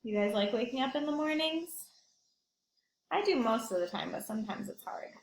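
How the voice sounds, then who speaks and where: A woman's voice: on the high side, lively intonation, normal speed, echoey room. A woman teacher reading aloud to young children, explaining simply and expressively and asking the listeners questions.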